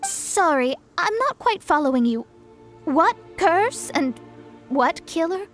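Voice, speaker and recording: A woman's voice: extremely high pitch, sing-song, slow, fairly bright tone, soft background music, full character voice. A woman voicing a proud young astrologer mage in a video game, formal and self-assured, with a lecturing manner. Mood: puzzled. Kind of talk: video game character acting.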